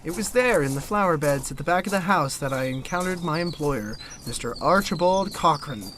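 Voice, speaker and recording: A man's voice: a little high, lively intonation, a little fast, fairly bright tone, a little hiss or hum. A young man playing a polite, bemused narrator of a comic gothic audio drama, speaking formally. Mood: uneasy, narrating. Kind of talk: scripted first-person narration and comic drama acting.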